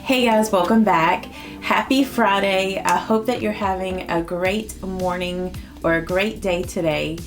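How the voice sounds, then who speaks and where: A woman in her late thirties chatting casually through a makeup routine, upbeat and full of fillers, her voice a touch raspy from early morning. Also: medium pitch, fairly even intonation, unhurried, over music. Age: 30s. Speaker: beauty vlogger. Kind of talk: casual get-ready-with-me chat.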